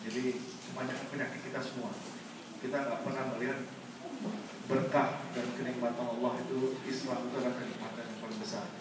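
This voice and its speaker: Fast-talking interpreter in a noisy echoey hall. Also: male voice, medium pitch, flat delivery. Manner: quick, filler-heavy paraphrasing. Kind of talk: live consecutive translation. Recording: echoey hall, far mic, loud noise.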